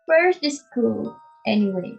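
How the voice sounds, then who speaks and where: A reader voicing a gruff, sarcastic middle-aged uncle in a storybook, curt and mocking. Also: medium pitch, sing-song, slow, heavily acted. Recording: muffled recording with music behind.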